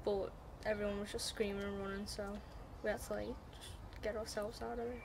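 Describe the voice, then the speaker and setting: A young female interviewee, giving a halting account as an eyewitness. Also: mid-range voice, little pitch movement, creaky. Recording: noisy location interview sound.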